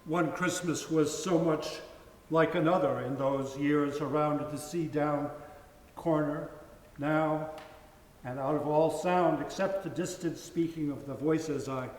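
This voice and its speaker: A man giving a measured dramatic reading of a story in a large church sanctuary. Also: a little high, fairly even intonation, a little mellow. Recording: echoey hall from far off.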